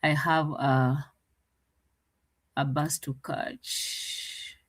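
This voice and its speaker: A woman's voice: deep voice, little pitch movement, drawn-out words, breathy edge. A woman commenting casually on a clip, translating and mocking with frequent laughs.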